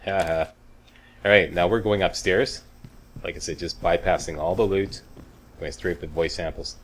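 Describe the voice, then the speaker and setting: A man's voice: low-pitched, steady tone, normal speed, a little hiss or hum. A man hosting a video game walkthrough with casual, chatty, wry commentary and frequent check-ins with the viewer. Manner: chatty, wry, mildly profane, checks in with "okay" and "right".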